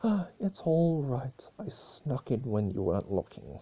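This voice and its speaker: Man acting a male spirit of chaos, theatrical, melodramatic, dark husky tone, story reading. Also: mid-range voice, big pitch swings, unhurried, highs cut off, voice pushed up, heavily acted. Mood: sulky, casual. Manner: mocking, melodramatic.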